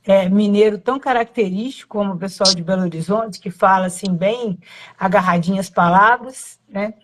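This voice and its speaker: A woman's voice: medium pitch, normal speed. A woman in her late forties from Minas Gerais, with a mild Minas accent, telling her personal story in a reflective, meandering way, often correcting herself. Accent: Brazilian Portuguese, Minas Gerais (Zona da Mata, mild). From Minas Gerais, Brazil. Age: late 40s. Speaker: yoga teacher. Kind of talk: personal storytelling on a live stream.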